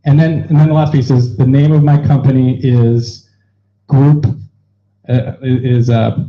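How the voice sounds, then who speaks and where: A man's voice: mid-range voice, flat delivery. A man facilitating an event, explaining the rules to an audience in an upbeat, joking way. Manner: upbeat, joking, quick asides. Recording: dull lo-fi sound.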